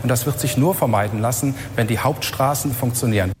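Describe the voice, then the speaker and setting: A man's voice: mid-range voice, a little fast, a little mellow. A man, a city mayor, making a firm explanatory statement in a recorded interview clip. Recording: reverberant room with light noise.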